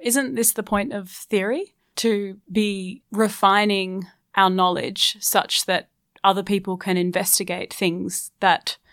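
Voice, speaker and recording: A woman's voice: mid-range voice, fairly even intonation, slow pace, fairly bright tone, no echo, studio quality. A woman co-hosting an academic podcast, asking probing questions. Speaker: podcast co-host. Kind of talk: casual academic discussion.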